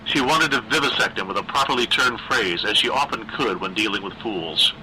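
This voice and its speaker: A man's voice: lowish voice, brisk, sharp tone, a little hiss or hum, low-quality recording. A man narrating a horror story in a dramatic reading style.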